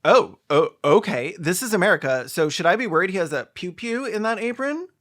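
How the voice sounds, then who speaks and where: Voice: mid-range voice, animated, brisk, fairly bright tone, soft background music. A host giving sarcastic video commentary in a theatrical, snarky and sweary way.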